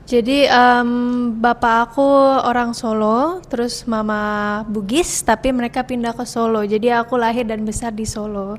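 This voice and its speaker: A woman's voice: fairly high pitch, steady tone, normal speed. A woman giving a casual interview as a guest actress, explaining things informally with small asides. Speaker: actress interviewed as film cast member. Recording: reverberant room, faint background noise.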